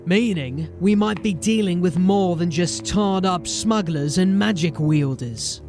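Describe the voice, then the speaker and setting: A man acting a gruff, authoritative sergeant of a city watch in a fantasy audio drama, with clipped speech. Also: high voice, a little slow, a little mellow, soft background music. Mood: brooding.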